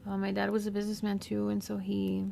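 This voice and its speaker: A woman with a Southern California accent whose first language is Spanish, talking unscripted and reflectively in a slow, pausing monologue as a podcast host. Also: mid-range voice, monotone, gravelly, mellow, slight background noise. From Mexican-American. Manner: slow, reflective, with pauses and fillers.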